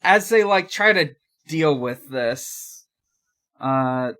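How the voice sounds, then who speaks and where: Unhurried podcast co-host, casual recap. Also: mid-range voice, lively intonation, a bit bright. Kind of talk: casual recap of a fan comic.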